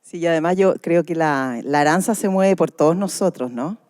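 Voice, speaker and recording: A woman's voice: fairly low pitch, moderate pace, a little mellow. A Chilean woman chatting informally as an actress on a discussion panel. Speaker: radio drama actress on a panel.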